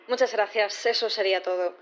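Woman playing a brisk, rapid-fire prosecutor. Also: medium pitch, fairly even intonation, highs cut off, natural voice. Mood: brisk, formal. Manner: formal, businesslike. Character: brisk, formal female courtroom prosecutor. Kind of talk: scripted audio fiction acting.

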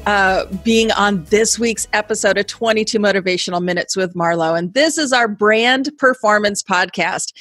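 A woman's voice: medium pitch, a bit bright, dry recording. Chatty woman podcast host, dry sound. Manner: upbeat, chatty, many fillers. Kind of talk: casual podcast interview.

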